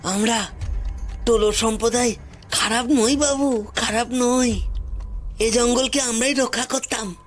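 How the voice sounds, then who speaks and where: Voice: mid-range voice, fairly even intonation, measured pace, long pauses, soft background music, pitched above the performer's own voice, natural voice. Performer doing a pleading, anguished poor forest tribesman. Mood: earnest, aggrieved. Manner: pleading, repeats phrases insistently. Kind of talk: dramatised audio story acting.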